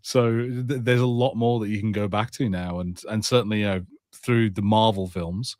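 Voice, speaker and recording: A man's voice: lowish voice, steady tone, moderate pace, a little mellow, no room sound, studio quality. A man in his thirties co-hosting a podcast, chatting casually in a hesitant, stop-start way. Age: 30s. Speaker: podcast co-host.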